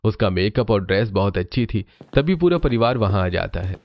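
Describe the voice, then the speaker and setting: A man's voice: fairly low pitch, expressive, brisk. A man narrating a scripted cartoon family story as the storyteller, on a slightly dull recording.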